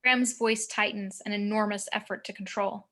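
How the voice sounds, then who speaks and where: A woman's voice: mid-range voice, fairly even intonation, moderate pace, a bit bright. A woman reading screenplay action descriptions as scripted narration for a table read.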